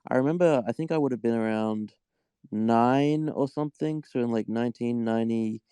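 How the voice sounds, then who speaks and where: A man, a guest in an interview, telling stories in a relaxed, thoughtful way with plenty of ums. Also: medium pitch, a little fast, clean tone, no echo. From Australia. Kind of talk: casual interview.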